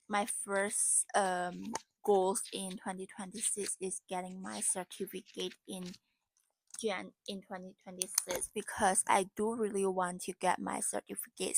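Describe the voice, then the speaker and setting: A woman in her twenties, a native Indonesian speaker, talking through her goals in casual, halting English and often searching for words. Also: mid-range voice, creaky, dry recording, low-quality recording. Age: 20s. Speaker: vlogger. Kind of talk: casual vlog talk about goals.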